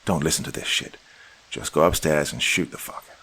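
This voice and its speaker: Man voicing a cursing angry dude, low mutter. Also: steady tone, a little fast, pushed and bright, slightly rough, full character voice. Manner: swearing, muttering. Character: angry foul-mouthed young man.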